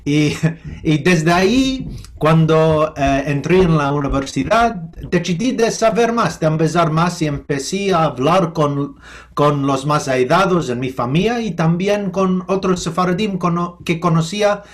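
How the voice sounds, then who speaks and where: A man who grew up speaking English, with an American accent, speaking slowly and thoughtfully as a professor giving an interview, pausing often and restarting his sentences. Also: fairly high pitch. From United States. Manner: halting, thoughtful, with many restarts and fillers. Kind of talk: remote interview.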